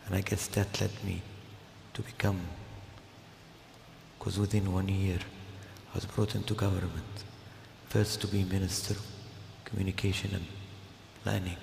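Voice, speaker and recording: An older man from Jordan speaks slowly in a very deep, gravelly voice with a flat, even delivery. He gives a formal speech, pausing between phrases. The sound is echoey and comes from a large hall. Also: a little hiss or hum. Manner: slow and measured, with pauses. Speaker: guest speaker. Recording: echoey hall, heard from a distance.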